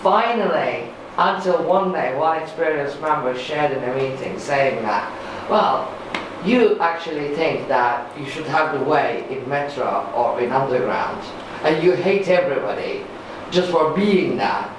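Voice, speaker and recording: A woman's voice: deep voice, expressive, a little slow. A woman sharing her own recovery experience at a workshop, candid, self-mocking and emphatic. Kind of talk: personal recovery share. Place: large meeting hall. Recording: echoey hall recording from far off, noisy.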